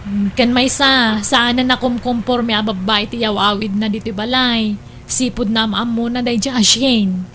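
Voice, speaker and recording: A protective, teasing older sister acted by a woman in an Ilocano family drama. Her voice is very high, with an Ilocano accent. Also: steady tone, unhurried, fairly bright tone, slight background noise. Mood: concerned. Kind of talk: Ilocano radio-style drama acting.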